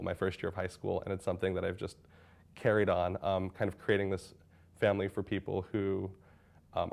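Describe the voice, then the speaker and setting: A young man with a gravelly, low voice answers interview questions as a graduating college senior. He speaks earnestly, often restarting and filling pauses with "um". His tone is thoughtful and sincere. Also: darkish tone. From United States. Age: young adult. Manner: earnest, hesitant, many restarts and fillers.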